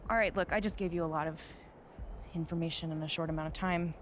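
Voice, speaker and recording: Game cutscene: woman as a commanding, businesslike publicist, brisk, noisy capture. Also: low-pitched, steady tone, natural voice. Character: brisk no-nonsense female publicist, adult. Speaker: publicist for a rookie basketball player. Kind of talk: video game cutscene acting.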